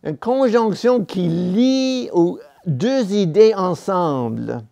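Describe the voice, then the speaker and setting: A man preaching a sermon in a church, a non-native speaker of the language, talking slowly and deliberately with pauses and self-corrections. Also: high-pitched, animated, creaky, dark tone. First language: not French.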